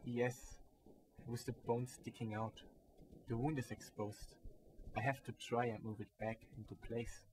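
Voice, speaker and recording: A man acting a kind, brave young soldier in a radio drama, with a calm, measured manner. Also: mid-range voice, lightly acted. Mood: grave, reassuring. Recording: noisy student radio drama, ambience behind.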